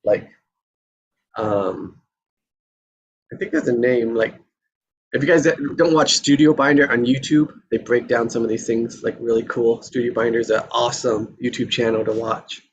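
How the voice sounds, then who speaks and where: A man's voice: medium pitch, steady tone, normal speed. Casual man, slightly dull lo-fi sound. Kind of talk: casual chat.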